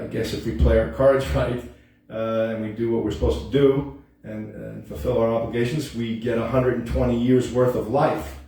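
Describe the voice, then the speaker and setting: A man's voice: medium pitch, a little mellow. A man in his late fifties hosting his own home music show, talking in a loose, earnest monologue full of ums and restarts. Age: 50s. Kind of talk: rambling casual monologue. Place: room at home, at a piano. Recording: echoey room, mic at a distance.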